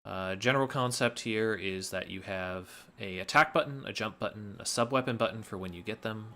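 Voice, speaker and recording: Bright-voiced man explaining a game, low pitch. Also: brisk. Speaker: speedrun commentator.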